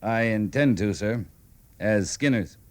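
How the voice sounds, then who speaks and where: An actor playing a firm, dry-humored cavalry captain in an old-time radio western, plain-spoken and clipped. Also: male voice, on the low side, measured pace, lightly acted. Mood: respectful, wry.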